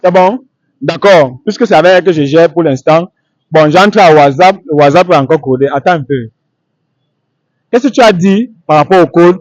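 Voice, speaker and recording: A man hosting an unscripted street interview, speaking in halting, repetitive phrases. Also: on the high side, no room sound.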